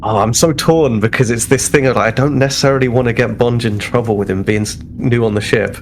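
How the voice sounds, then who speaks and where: A man with an average-pitched voice plays a tabletop role-playing game. He talks casually and makes up his character's actions as he goes. The recording has clear background noise. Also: steady tone, quick pace. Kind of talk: casual chat and improvised narration.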